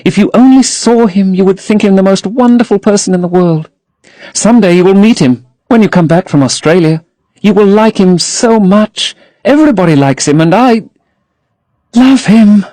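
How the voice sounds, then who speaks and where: A man's voice: high voice, dark tone, no room sound, pitched above the performer's own voice, close to an everyday voice. A man putting on a gushing young actress. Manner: rapturous, gushing. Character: young woman actress, dreamy and infatuated.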